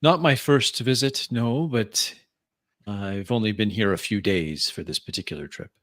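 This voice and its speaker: A gracious Parisian gentleman with a French accent, played by a man, speaking in a slightly low voice. He is courteous and laughs easily. The sound is dry and close. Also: moderate pace, no room sound. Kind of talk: in-character roleplay.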